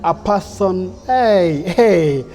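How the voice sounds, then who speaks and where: A man's voice: high-pitched, lively intonation, extremely slow. A man hosting an interview, enthusiastic and formal, with many fillers. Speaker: host, presenter.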